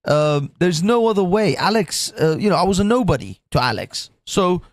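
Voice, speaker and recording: A man hosting a livestream, with a croaky voice, swearing and rambling with many restarts. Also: high-pitched, very animated, normal speed, no room sound. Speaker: livestream host. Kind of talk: casual livestream chat.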